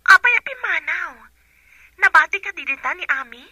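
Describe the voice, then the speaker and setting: A woman's voice: extremely high pitch, expressive, moderate pace, pushed and bright, dry recording, full character voice. A young woman acting an anxious grown daughter on a call to her mother in an Ilocano radio drama. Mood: concerned.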